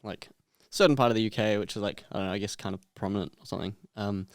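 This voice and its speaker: Young New man, easygoing guest, low smooth voice, dry close sound. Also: rapid-fire, dry recording. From New Zealand. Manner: easygoing, hesitant, lots of fillers. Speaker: podcast guest. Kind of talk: casual chat.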